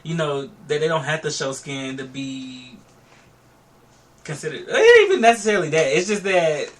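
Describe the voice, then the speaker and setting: A man co-hosting a casual talk show, asking questions and reacting with animated laughter. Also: on the high side, normal speed. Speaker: co-host. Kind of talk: casual group discussion. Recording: distant mic with faint noise.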